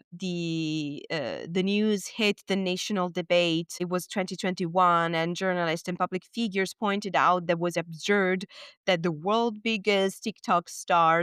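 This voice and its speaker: A woman with an Italian accent hosting a podcast, talking thoughtfully in English with pauses and small laughs. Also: fairly low pitch, fairly bright tone, clean tone, no room sound, studio quality. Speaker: podcast host. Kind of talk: reflective commentary.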